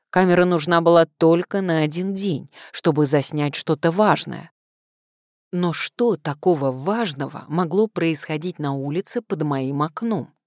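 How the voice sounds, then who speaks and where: A woman's voice: low-pitched, darkish tone, no room sound, clean studio sound. A woman reading first-person fiction expressively as an audiobook narrator.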